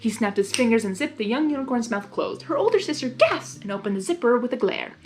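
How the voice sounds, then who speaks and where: A woman reading fan fiction aloud as host, in an expressive storybook narration style. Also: on the high side, fast. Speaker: fanfiction reader and channel host.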